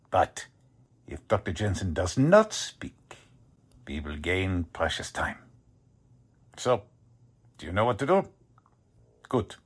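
A man acting a menacing Nazi agent in a radio drama, with a deliberate, commanding, conspiratorial manner. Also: fairly low pitch, slightly rough, exaggerated voice. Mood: cold, satisfied. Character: menacing Nazi agent plotting sabotage by telephone.

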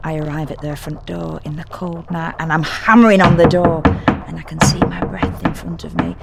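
A woman playing an exhausted, fretful woman who narrates a confessional audio drama monologue in an intimate, wry, deliberate way. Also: low-pitched, fairly even intonation, vocal fry, heavy background noise. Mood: furious, determined. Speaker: narrator and actor.

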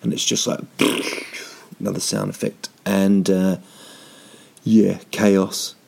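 Slow gravelly man rambling. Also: low voice, level tone, a little mellow. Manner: dry, rambling, self-mocking, lots of ums. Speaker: vlogger. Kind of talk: rambling comic vlog chat.

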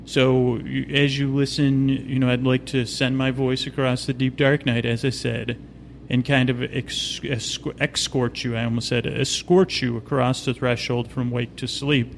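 A man's voice: little pitch movement, unhurried, vocal fry. A man hosting a sleep podcast in a meandering, hesitant, slightly goofy soothing monologue, recorded on location inside an observation wheel cabin. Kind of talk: rambling, soothing bedtime monologue. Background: low ambient hum, faint.

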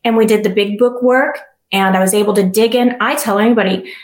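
A middle-aged woman with a soft voice, telling her personal story as an interview guest, earnest and reflective with many restarts. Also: medium pitch, fairly even intonation, brisk, echoey room.

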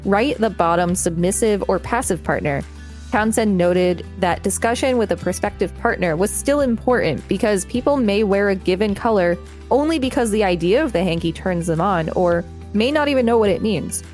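A woman's voice: mid-range voice, expressive, normal speed, darkish tone, faint music behind. A woman co-hosting a podcast, reading an article aloud with casual asides.

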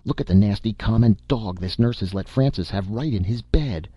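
A man's voice: fairly low pitch, normal speed, dark tone, slightly rough, dry recording. A man voicing a haughty, exasperated young society wife and mother, snappish and imperious, in an audiobook story. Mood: indignant, shrill.

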